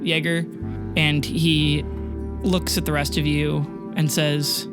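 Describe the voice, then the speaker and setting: A game master improvising narration for a tabletop audio drama, informal and with dramatic pauses. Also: mid-range voice, little pitch movement. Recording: music bed under the voice.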